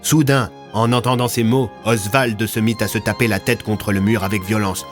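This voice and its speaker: Low-voiced male story narrator. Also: steady tone, normal speed, faint music behind. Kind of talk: scripted audio fiction narration.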